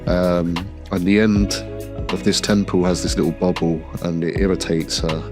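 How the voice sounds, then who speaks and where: A man's voice: low-pitched, normal speed, music in the background. A man with a cold and a slightly nasal voice, casually narrating a workshop vlog about a glasses repair. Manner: relaxed, enthusiastic product talk with fillers. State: has a cold. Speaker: optician showing a frame job.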